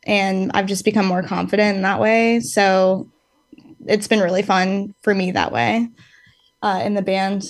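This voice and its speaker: A woman's voice: medium pitch, fairly even intonation, measured pace, no room sound. A woman in her late twenties who sings in a band, talking casually in an interview. She rambles a little, thinks aloud and fills gaps with ums, and she laughs easily. Her voice sits mid-range and is recorded close and dry. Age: late 20s. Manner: rambling and reflective, with many fillers and easy laughs. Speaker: band singer, podcast guest.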